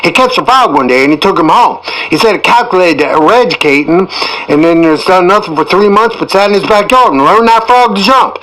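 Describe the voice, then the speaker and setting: A man's voice: high-pitched, expressive, fairly quick, fairly bright tone, poor audio. A man voicing a garrulous rural yarn-spinner in an audiobook, earnest and admiring, rambling in colloquial country dialect. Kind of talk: first-person tall-tale narration in audiobook.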